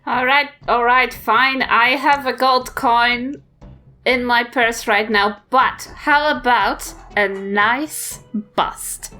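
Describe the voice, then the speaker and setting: A woman with a New Zealand accent plays a tabletop game. She talks casually in character, slowly and fairly high, with a bright tone. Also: steady tone, faint music behind.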